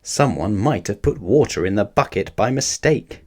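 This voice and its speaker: A man's voice: on the low side, expressive, normal speed, a little mellow. An earnest, eager little bear from a children's storybook, as a male narrator puts him on. He speaks courteously and takes everything sincerely. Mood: earnest, polite.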